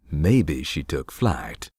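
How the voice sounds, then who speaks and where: An actor playing a dry, sardonic man who believes in ghosts, speaking deadpan in game dialogue. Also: very deep voice, very slow, slightly rough, no room sound, heavily acted.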